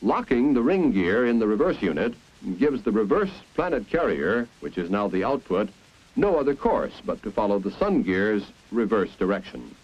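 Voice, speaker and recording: An American man reading scripted 1950s instructional film narration in a clear, deliberate, explanatory manner. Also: fairly low pitch, big pitch swings. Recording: dull, band-limited 1950s film soundtrack.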